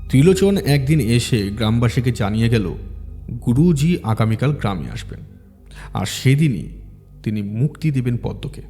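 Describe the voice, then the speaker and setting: A man tells a scripted ghost story with a dark, relaxed tone at a middling pitch. He is measured and dramatic, leaving pauses. The voice rings in long reverb with music underneath. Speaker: audio story narrator.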